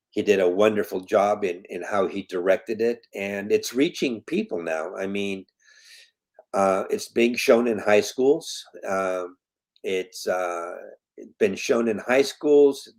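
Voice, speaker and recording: An earnest man in his fifties from Colombia speaks as an interview guest in a slightly low voice. He talks in a casual, conversational way, leans hard on the points that matter to him, and says them more than once. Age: 50s. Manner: earnest, emphatic, repeats key points. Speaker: guest, foundation president.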